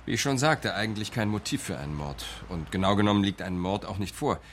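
An actor playing a composed, matter-of-fact police captain in a radio crime drama, giving an orderly briefing. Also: male voice, on the low side, fast, fairly bright tone, slight background noise, lightly acted. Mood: factual briefing.

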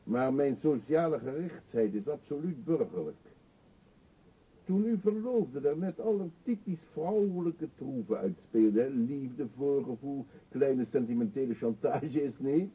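An actor playing an eccentric, talkative older beggar in a radio drama, pushy and wry, confiding theatrically. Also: male voice, mid-range voice, animated, unhurried, mellow, husky. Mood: chatty, insistent. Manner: chatty, insistent, theatrically confiding. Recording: dull, muffled old radio play recording.

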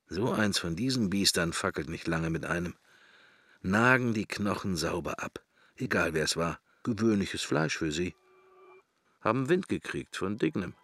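A man's voice: deep voice, expressive, normal speed, a bit bright, husky, no room sound, clean studio sound, heavily acted. An actor playing a pensive middle-aged man in a radio drama, musing to himself wryly and morbidly in short, broken fragments. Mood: morbid, brooding. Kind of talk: German radio drama, interior monologue.

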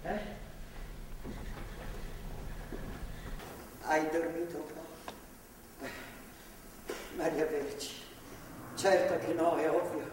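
A woman's voice: low-pitched, flat delivery, takes it slowly. An actress playing an older, motherly woman guarding a captive in a stage drama, folksy, stubborn and rambling. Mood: chatty, patient. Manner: folksy, rambling, matter-of-fact. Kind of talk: theatrical stage drama acting. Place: theatre stage, picked up from afar. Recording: echoey hall, distant mic, noisy ambience.

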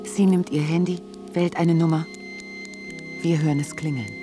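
A woman's voice: deep voice, steady tone, normal speed, over music, natural voice. A woman narrating a scripted radio drama.

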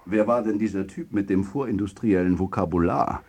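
An actor playing a coolly superior football club boss in radio drama dialogue. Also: male voice, deep voice, fairly quick, darkish tone, husky. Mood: amused.

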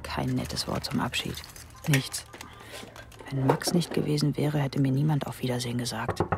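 A woman's voice: rumbling low, fairly even intonation, moderate pace, vocal fry, over loud music. An actress playing a young German secretary who narrates her own story in a radio drama. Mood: wistful.

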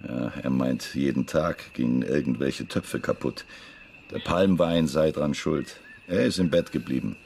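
A man's voice: rumbling low, measured pace, gravelly, darkish tone, slight background noise, full character voice. A man playing an easygoing, slightly flustered investigator in a radio drama, casual and hesitant in delivery. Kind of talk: naturalistic German radio drama acting.

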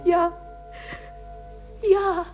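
An actress playing a dreamy, lovestruck young sweetheart, coy and breathy, in a 1950s German radio play. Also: female voice, very high voice, little pitch movement, drawn-out words, heavily acted. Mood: coy, enamoured. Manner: coy, lingering, teasing. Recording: 1950s radio recording, music behind.

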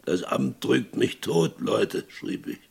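Very gravelly, broken old West sheriff. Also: male voice, lowish voice, sing-song, exaggerated voice. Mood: heavy, remorseful. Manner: slow, brooding, bitter. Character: broken, guilt-ridden whisky-drinking former sheriff. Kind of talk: German radio play monologue, storytelling.

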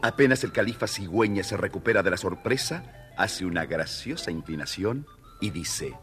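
Man, children's storyteller, deep expressive delivery, lively intonation, faint music behind. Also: normal speed. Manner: expressive, addresses young listeners directly. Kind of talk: dramatised scripted narration for children.

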